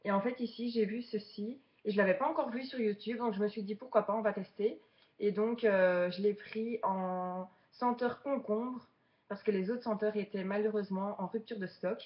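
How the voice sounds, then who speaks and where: A woman's voice: medium pitch, fairly even intonation, moderate pace, muffled recording. A woman of twenty-seven from Belgium, chatting casually to viewers as a beginner vlogger during an unboxing. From Belgium, Charleroi region.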